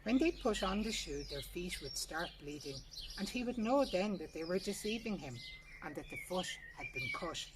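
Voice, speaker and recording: A woman's voice: medium pitch, wildly swooping pitch, normal speed, far from the mic. A woman with an Irish accent narrating a traditional folk tale in a plain-spoken, colloquial way. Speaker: storyteller reading a folk tale. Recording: noisy recording with birdsong behind. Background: birdsong, ambient noise.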